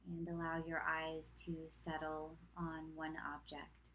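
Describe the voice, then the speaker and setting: A woman teaching yoga nidra, leading a guided meditation in a calm, gentle manner with long pauses between short cues. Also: low-pitched, a little hiss or hum, low-quality recording.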